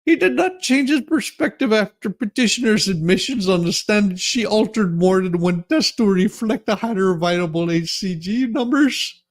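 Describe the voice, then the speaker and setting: A man's voice: high-pitched, moderate pace. A man doing a comedic dramatic reading with rambling, repetitive, mock-outraged banter. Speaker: co-reader in a dramatic reading stream.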